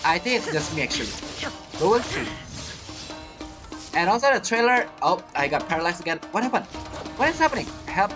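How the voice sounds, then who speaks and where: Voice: medium pitch, very animated, fairly quick, long pauses, music in the background. A game streamer giving casual, reactive let's-play commentary in a chatty way, often repeating phrases.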